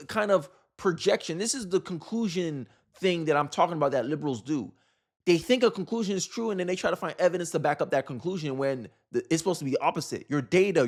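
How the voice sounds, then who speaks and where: A man giving casual, opinionated political commentary in an incredulous, rhetorical way, repeating phrases for emphasis. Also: a little high.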